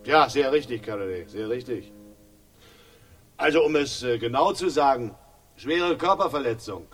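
A man's voice: mid-range voice, lively intonation, moderate pace, fairly bright tone. An actor playing a grimly ironic police inspector in a radio crime drama, mock-jovial and hesitant, repeating himself as he needles. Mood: slyly needling. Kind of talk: 1960s German radio crime drama acting.

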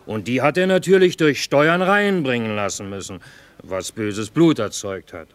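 An actor playing a cynical army cook with a dry, ironic, measured delivery in a radio play. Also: male voice, medium pitch, expressive, no room sound, close to an everyday voice. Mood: dry irony. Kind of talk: theatrical radio drama acting.

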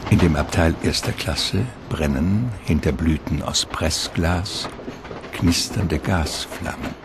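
A man narrating a radio drama in a measured, atmospheric, literary manner. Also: a bass voice, background noise.

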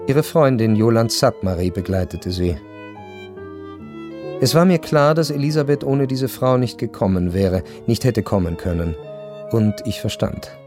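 Measured male narrator, reflective. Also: fairly low pitch, lively intonation, over music. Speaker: narrator of radio drama. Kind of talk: literary first-person narration.

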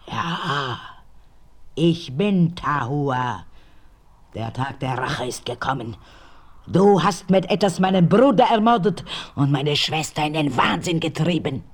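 An actor playing a mysterious avenger who speaks in a hushed, grim, often whispering way in a radio play. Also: male voice, fairly high pitch, expressive, slow. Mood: vengeful. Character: mysterious avenger seeking revenge. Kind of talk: 1970s radio play acting.

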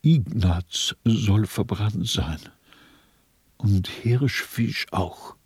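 An actor in a radio play voicing a weary, shrewd small-time dealer with a rough, resigned way of talking. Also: male voice, fairly low pitch, drawn-out words, a little mellow, no room sound, exaggerated voice. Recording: dry studio with faint music.

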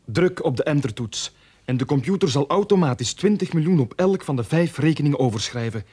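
An actor playing a nervous, hesitant bank embezzler in a radio drama. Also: male voice, fairly high pitch, expressive, brisk, dark tone, breathy edge. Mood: uneasy explaining. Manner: hesitant, stammering under pressure.

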